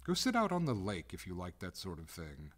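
A man reading a story aloud, voicing an exasperated, stiffly polite gentleman who is master of a haunted hall; the voice is raised and pitched higher than his narration. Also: wildly swooping pitch, a little fast, exaggerated voice.

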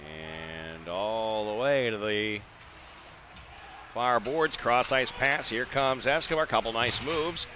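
A man calling live ice hockey play-by-play in clipped phrases, excitable when the action heats up. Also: medium pitch, takes it slowly. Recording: live arena broadcast with background noise.

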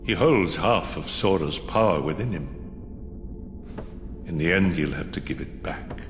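An actor playing a cold, scheming, solemn robed mastermind in a video game, speaking gravely and deliberately with disdain. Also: male voice, low-pitched, expressive, long reverb tail, music in the background. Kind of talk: dramatic video game voice acting.

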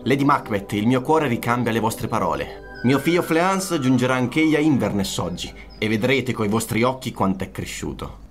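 An actor playing a warm, courteous nobleman and proud father in an Italian radio drama, speaking formally. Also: mid-range voice, animated, moderate pace, faint music behind, close to an everyday voice. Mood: cordial, proud.

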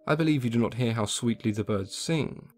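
A man's voice: mid-range voice, expressive, natural voice. A man doing a sly drawling wolf. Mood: coaxing. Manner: slow, drawn-out coaxing. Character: sly hungry male wolf, crafty and smooth.

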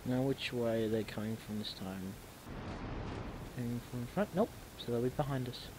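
A man's voice: medium pitch, normal speed, background noise. Snuffly man rambling through game commentary. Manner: rambling, self-correcting, restarts words. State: stuffy and snuffly from a cold. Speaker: gamer commentating his own match. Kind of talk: casual gameplay commentary.

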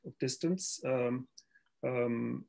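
A man's voice: mid-range voice, drawn-out words, gravelly, dark tone. A man whose first language is German, speaking as a translator on a panel video call, hesitant and repeating words as he thinks.

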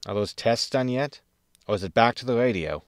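A man playing a gruff, roguish spaceship captain in a sci-fi comedy audio drama, curt, wry and easily irked. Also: medium pitch, lively intonation, a little fast, no echo, studio quality, lightly acted. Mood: impatient.

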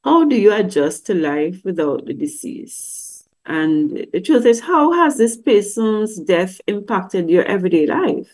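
A woman's voice: mid-range voice, sing-song, measured pace, a little mellow. Middle-aged woman, counselor teaching over a webcam; conversational, lots of questions. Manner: conversational, asks many questions, acts out quoted voices. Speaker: grief counselor teaching at a church service. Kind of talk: teaching talk with slides. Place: indoor room. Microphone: webcam on a video call.

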